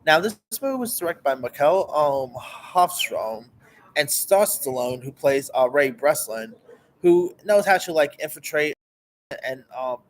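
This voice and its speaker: A man's voice: on the high side, lively intonation, unhurried, poor audio. A man hosting his own channel, reviewing a movie in a casual, rambling way, with lots of fillers and restarts and plenty of enthusiasm.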